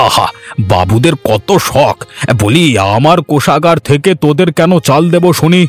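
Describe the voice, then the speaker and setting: A man's voice: fairly high pitch, animated, normal speed, soft background music, close to an everyday voice. A man playing a haughty, middle-aged village headman in a children's cartoon, speaking in a bossy way. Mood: scornful. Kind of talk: Bengali children's cartoon voice acting.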